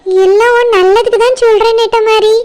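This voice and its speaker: A woman voicing a chatty village cartoon story, taking several roles in a lively way. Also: very high voice, a little fast. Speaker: cartoon narrator and voice actor.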